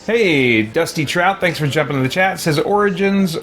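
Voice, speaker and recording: A man's voice: fairly high pitch, lively intonation, normal speed, fairly bright tone. A man doing casual livestream gaming commentary, thinking aloud as he plays. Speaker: livestreaming gamer and host. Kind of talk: casual let's play commentary. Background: game music.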